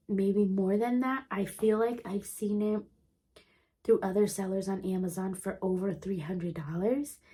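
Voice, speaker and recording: A woman's voice: mid-range voice, mellow. A woman in her thirties, a homeschool vlogger with a sore throat and a congested, throaty voice, chatting enthusiastically with many ums as she shows off a purchase. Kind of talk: casual show-and-tell vlog.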